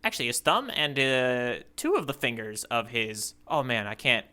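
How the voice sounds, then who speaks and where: Bright, forceful male podcast co-host. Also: medium pitch, sing-song, moderate pace, clean tone. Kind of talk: casual comic podcast chat.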